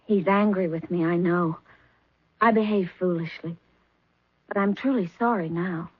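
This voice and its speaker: An actress playing a demure young poisoner who feigns innocence, coy and apologetic, in a 1970s radio mystery. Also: female voice, lowish voice, unhurried, breathy edge, dry recording. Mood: coy politeness. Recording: muffled, dry lo-fi 1970s radio recording.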